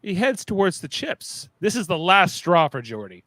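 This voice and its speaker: A man's voice: high voice, big pitch swings, normal speed, bright, forceful tone, no room sound. A man narrating stage directions in a playful, dramatic way for a live fan script reading.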